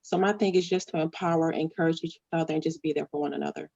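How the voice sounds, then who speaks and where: A woman with a lowish voice introduces herself in a friendly, relaxed way. Her audio is dry and a little muffled, as on a video call. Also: fast, gravelly, no room sound. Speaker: event planner and mentor. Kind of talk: self-introduction.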